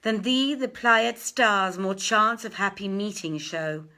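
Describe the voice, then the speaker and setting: A woman's voice: medium pitch, takes it slowly, pushed and bright. Woman as a plaintive, lovelorn young merchant. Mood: lovelorn, grieving. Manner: plaintive, declamatory. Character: sorrowful lovelorn young male merchant, humble, secretive. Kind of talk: dramatic storybook reading of archaic verse and dialogue.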